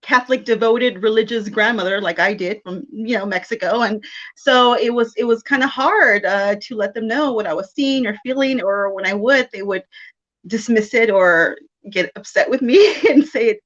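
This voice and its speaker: A woman's voice: on the high side, moderate pace. Giggly woman in her thirties, muffled. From South Texas. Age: 30s. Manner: giggly, rambling with many fillers. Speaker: guest, medium and paranormal investigator. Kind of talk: casual interview chat.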